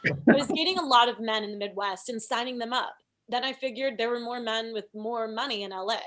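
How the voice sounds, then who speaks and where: High-voiced, blunt hustler played by a woman. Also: brisk, a bit bright, close to an everyday voice, low-quality recording. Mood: breezy. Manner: matter-of-fact, blunt. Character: breezy young woman, shameless hustler with blunt rules. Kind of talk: comedy script table read.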